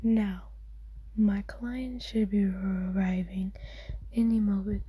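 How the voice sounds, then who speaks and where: A woman playing an ancient, wealthy vampire, a kind but possessive owner, in a soft, soothing whispered ASMR roleplay with formal phrasing. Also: medium pitch, steady tone, slow pace, breathy edge, dry recording. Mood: tender, possessive.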